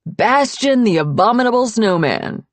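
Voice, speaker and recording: A woman's voice: on the high side, expressive, moderate pace, no room sound, voice pushed up, close to an everyday voice. A woman voicing a careless, sneering young man with a mocking, almost sing-song drawl in an audiobook.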